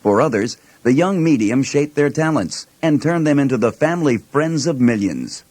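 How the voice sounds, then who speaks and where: A man's voice: mid-range voice, expressive, moderate pace, darkish tone, dry recording. A man reading scripted documentary narration as a narrator.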